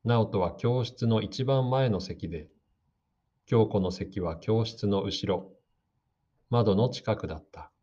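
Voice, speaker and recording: Calm low-voiced male narrator. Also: slow pace, breathy edge. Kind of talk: slow scripted narration for learners. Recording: dull lo-fi audio.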